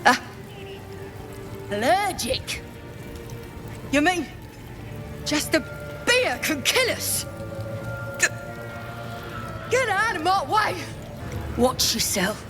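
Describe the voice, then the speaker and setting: Grouchy bossy goblin, highish and swoopy, accusing, game character. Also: female voice, big pitch swings, slow, pauses often, over music, full character voice. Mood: alarmed. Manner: accusing, irritable. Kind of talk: video game character acting.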